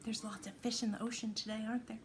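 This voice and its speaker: A woman reads a picture book aloud to young children like a teacher, pausing to ask them questions and explain words simply. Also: a little high, little pitch movement. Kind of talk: picture-book read-aloud with chatty asides.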